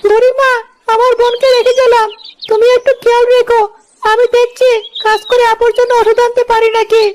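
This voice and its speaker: Loyal little brother, cartoon village tale, female performer. Piping high pitch, flat intonation, pleading, heavy music underneath. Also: brisk. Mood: earnest, protective. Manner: earnest, pleading. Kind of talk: cartoon story acting. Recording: prominent music behind the voice.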